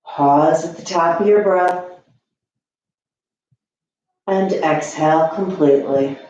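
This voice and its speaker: A woman teaching yoga, guiding breath and posture gently and soothingly with long pauses. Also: low-pitched. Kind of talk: guided yoga and meditation instruction. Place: echoey indoor room. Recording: echoey room, slightly dull sound.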